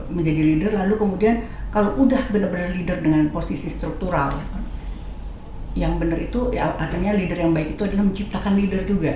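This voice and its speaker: Echoey, lower-voiced Indonesian woman speaking. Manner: animated, checks agreement with rhetorical questions. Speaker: guest speaker, company leader. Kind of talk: casual panel discussion. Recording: very echoey room with faint noise.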